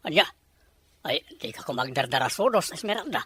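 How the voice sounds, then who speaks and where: A woman's voice: deep voice, lively intonation, fairly quick, fairly bright tone, slightly rough, heavily acted. A woman playing a blunt, exasperated husband who talks reproachfully in a melodramatic audio drama. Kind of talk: melodramatic radio-style drama acting.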